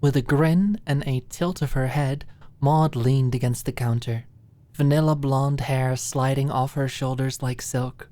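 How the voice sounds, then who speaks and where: A woman narrating scripted fiction as an ASMR audiobook, with expressive, dramatic storytelling. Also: extremely low pitch, a little slow, no room sound.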